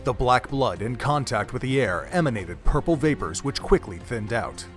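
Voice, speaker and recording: A man's voice: mid-range voice, animated, moderate pace. A man reading scripted narration for an audio drama in a dramatic storytelling manner. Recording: music under the narration.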